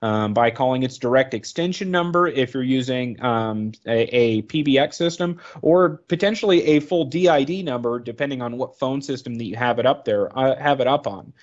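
A man with a medium-pitched voice talks through a training presentation. He is informal and explanatory, thinking aloud as he goes. The recording is a little dull and lo-fi. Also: normal speed, hardly any pauses. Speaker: product trainer. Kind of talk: informal slide presentation narration.

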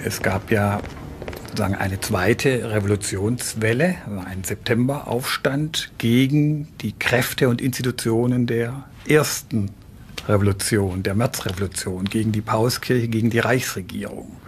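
A man's voice: on the low side, fairly even intonation, moderate pace, slight background noise. A man explaining as an expert commentator in an interview.

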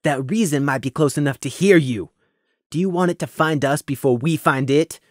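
A narrator voicing a stern, gruff male pegasus squad leader who talks low and commanding. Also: normal speed, no echo, studio quality, close to an everyday voice. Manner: commanding, low-voiced, scolding.

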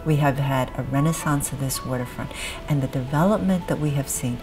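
A woman's voice: extremely low pitch, fairly quick. A middle-aged woman speaking in a documentary interview. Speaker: former head of an advocacy association. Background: music.

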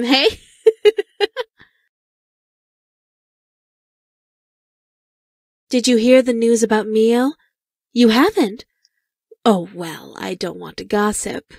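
A sugary yandere schoolgirl for a game, kinda high voice, fake caring, creepy underneath, dry recording. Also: darkish tone, no room sound. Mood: catty, sweet. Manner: saccharine, insincere concern. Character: sweet-seeming, secretly menacing yandere high school girl. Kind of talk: video game character voice lines.

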